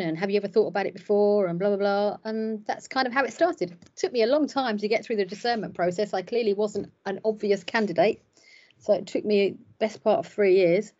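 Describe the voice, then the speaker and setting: A woman's voice: medium pitch, mellow. A middle-aged woman whose first language was Cypriot Greek, chatting in a self-deprecating way with lots of fillers, recorded at home over a laptop webcam. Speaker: ordinand and hairdresser. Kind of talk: casual interview on a video call. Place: home room by a window. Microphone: laptop webcam on a video call. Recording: dull lo-fi video call audio.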